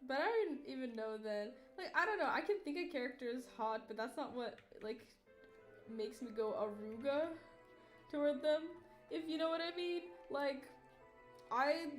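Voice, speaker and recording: A woman's voice: high voice, reverberant. A woman in her twenties chatting casually on a livestream while drawing, rambling, tired and yawning, swearing offhand and making self-mocking jokes. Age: 20s. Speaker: artist livestreamer.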